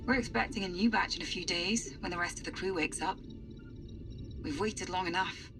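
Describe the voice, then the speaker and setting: An actress playing a wry, teasing astronaut crewmate in a cinematic sci-fi game, with a dry, conversational delivery. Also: female voice, on the low side, normal speed, close to an everyday voice, low-quality recording. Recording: loud music behind the voice.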